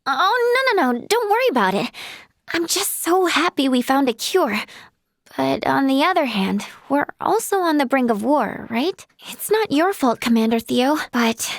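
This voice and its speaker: High-pitched, bubbly young healer girl. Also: normal speed, no echo, studio quality. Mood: earnest, friendly. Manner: bubbly, expressive, earnest. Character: cheerful, earnest young female healer in a fantasy game. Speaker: video game voice actress. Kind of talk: animated fantasy game character acting.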